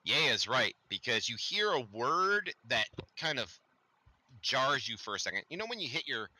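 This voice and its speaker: Lively male game master, very bright tone, playful narration. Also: medium pitch, normal speed, dry recording, low-quality recording. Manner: animated, playful narration with sound effects. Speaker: dungeon master.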